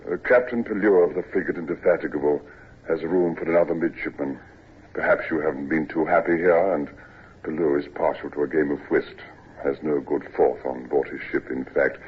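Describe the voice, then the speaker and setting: A tired, ailing old sea captain speaks in a very deep, husky voice, stopping often to hem and haw. The classic radio play comes through a thin, tinny old broadcast recording. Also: male voice, fairly even intonation, fairly quick. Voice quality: husky, hesitant with frequent uh's. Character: ailing, outworn old male navy captain, gruff. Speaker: ship's captain. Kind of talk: 1940s-50s radio acting.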